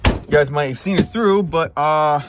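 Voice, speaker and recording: A man's voice: a little high, moderate pace. A man chatting casually to camera as a car audio vlogger, in a rambling, informal way with some stuttering and repeated words, recorded inside a car. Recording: noisy vehicle interior.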